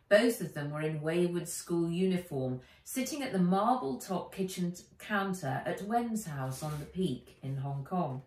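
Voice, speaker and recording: A middle-aged woman reading a children's book aloud as its author, with measured, animated storytelling. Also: fairly low pitch. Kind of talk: expressive children's audiobook-style narration. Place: living room by a window. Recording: roomy, distant home camera sound.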